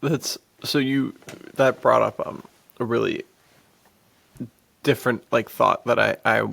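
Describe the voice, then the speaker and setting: A man's voice: mid-range voice, steady tone, measured pace, gravelly, a bit bright. A man co-hosting a podcast in casual, enthusiastic chat, with slangy talk peppered with "dude". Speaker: podcast co-host.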